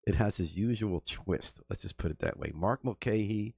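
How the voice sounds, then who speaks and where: A middle-aged man hosting a local music show, giving casual, conversational introductions with some halting phrasing. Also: fairly low pitch, creaky, a little mellow, husky, no echo, clean studio sound.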